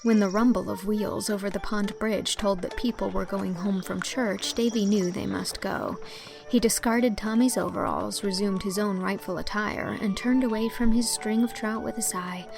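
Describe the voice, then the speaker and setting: A woman narrating a literary audiobook in a measured, articulate storytelling manner. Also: medium pitch, fairly even intonation, gravelly, over music.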